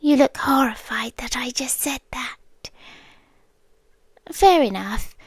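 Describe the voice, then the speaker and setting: A British woman voicing an obsessive romantic kidnapper for ASMR roleplay, speaking softly and often whispering into a close microphone. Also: sits high, takes it slowly, slightly rough, no echo, heavily acted. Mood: calm obsessive. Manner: soft-spoken, often slipping into whispers.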